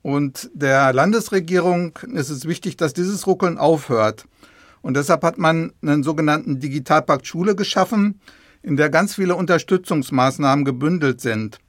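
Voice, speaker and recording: Guy expert guest explaining stuff in simple words for kids, bit high voice, dry recording. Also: normal speed, no echo. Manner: patient, plain explanations with simple examples. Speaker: government official, expert guest. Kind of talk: explaining to children in an interview.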